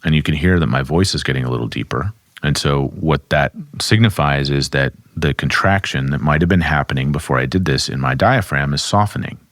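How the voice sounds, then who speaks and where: A man, a somatic therapist, calmly explaining and demonstrating grounding exercises at his desk. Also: very deep voice, brisk, no echo, clean studio sound. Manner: calm, instructive, speaks while demonstrating.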